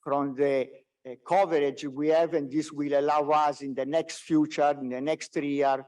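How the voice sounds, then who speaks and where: A non-native English-speaking man with a slightly high voice presents business results as an executive. He speaks haltingly, often repeating words, and is picked up from a little distance on a conference call. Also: little pitch movement, darkish tone. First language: not English.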